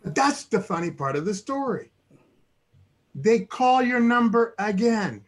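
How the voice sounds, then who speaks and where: Excitable Cuban-born man telling a story. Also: measured pace. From Cuba. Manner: excitable storyteller, bursts into loud high cries. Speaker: guest. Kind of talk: casual chat.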